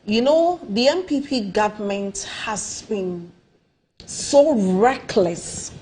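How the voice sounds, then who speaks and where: Woman, nasal from a cold, dark mellow voice, political guest, repeats words for emphasis, faint noise behind. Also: mid-range voice, poor audio. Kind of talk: studio discussion. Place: TV studio.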